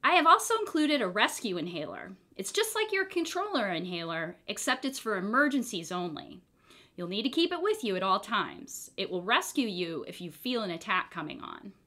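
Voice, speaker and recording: A woman's voice: mid-range voice, very animated, normal speed, bright, forceful tone. A woman voicing a nice, fanged female pharmacist in a children's storybook, friendly and patiently instructive.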